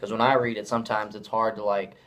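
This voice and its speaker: A man's voice: lowish voice, little pitch movement, fast, fairly bright tone. A young man interviewing a fellow student, asking loose, rambling questions and sharing his own thoughts. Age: young adult. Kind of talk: casual interview.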